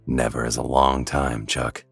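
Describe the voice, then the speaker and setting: A man playing a gruff, blunt, defiant tough-guy hero in an audio drama. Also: very deep voice, flat delivery, measured pace, loud music behind the voice, exaggerated voice. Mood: challenging.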